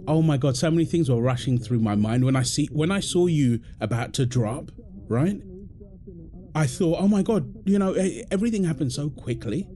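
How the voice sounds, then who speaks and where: A man's voice: a little high, lively intonation, moderate pace, mellow, close to an everyday voice. A man playing a playful, doting husband in an intimate audio roleplay, teasing and affectionate, full of exclamations. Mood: worried, relieved. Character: playful, doting husband apologizing to his pregnant wife.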